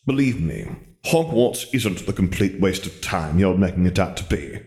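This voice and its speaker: A man's voice: deep voice, expressive, normal speed, a little mellow. A man reading aloud, voicing an irritable, wary male wizard who speaks in an aggrieved, muttering way. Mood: lecturing.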